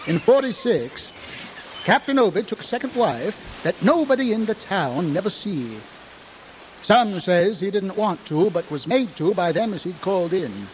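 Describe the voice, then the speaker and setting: A crazed old drunk from a town in a horror radio play. His voice is high and cackling, his talk folksy, rambling and agitated. Faint ambience sits behind him. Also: a little mellow, slight background noise, low-quality recording. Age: older. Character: crazed, frightened old drunken New England townsman. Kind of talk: audio horror drama acting.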